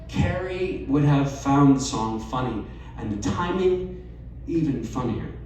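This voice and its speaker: A man reading a personal essay aloud at a live storytelling night, measured and wry. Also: medium pitch, expressive, a little mellow, far from the mic, slight background noise. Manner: slow, measured delivery with wry asides. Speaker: reader at a live storytelling event. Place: bar or event venue, live audience. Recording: very echoey room, voice off-mic, faint ambience.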